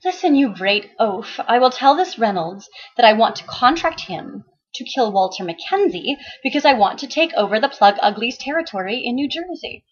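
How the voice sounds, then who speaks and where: Woman acting a shrill, fiery madam. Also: expressive, normal speed, hardly any pauses, husky, muffled recording. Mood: determined. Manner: indignant, commanding, dramatic. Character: fiery, shrill, defiant madam in old New York.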